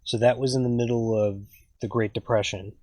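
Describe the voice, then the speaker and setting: A man's voice: medium pitch, steady tone, brisk, mellow, no echo. A man interviewing his grandfather, asking curious follow-up questions. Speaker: family interviewer. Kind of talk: casual family interview.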